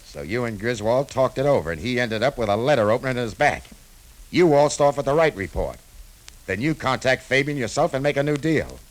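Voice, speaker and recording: A tough, wry adventurer played by a man in a 1950s radio drama. He is curt and sardonic, with a mid-pitched voice and lively swings of intonation. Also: a little fast, close to an everyday voice, poor audio. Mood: wry, probing. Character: tough, wry, cynical male hard-boiled adventurer.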